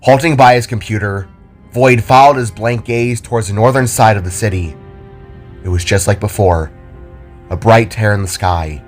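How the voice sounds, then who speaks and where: A man's voice: lowish voice, moderate pace, faint music behind. A man narrating a scripted audio drama as a dramatic storyteller.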